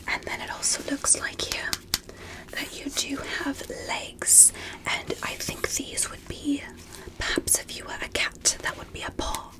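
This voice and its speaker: A woman performs an ASMR exam roleplay in a breathy whisper, playing a curious and courteous alien doctor. She speaks very slowly and gently, with deliberate repetition and hushed wonder. Her pitch sits high whenever voice comes through. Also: rough recording. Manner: gentle, deliberate, repetitive, softly amazed at small things. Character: curious, polite alien doctor examining a human.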